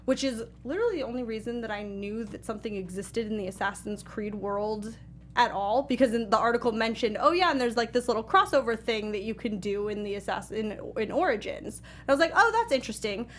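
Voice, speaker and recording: A woman co-hosting a gaming talk show, telling casual stories in an expressive way and acting out her reactions. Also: on the high side, fairly quick. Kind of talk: casual chat, anecdote telling.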